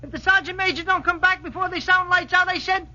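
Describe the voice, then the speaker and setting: An actor playing a brash, wisecracking young soldier with a Brooklyn accent in a 1940s radio drama. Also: male voice, very high voice, fairly even intonation, quick pace, sharp tone, exaggerated voice. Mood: mock-fawning. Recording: muffled 1940s radio broadcast.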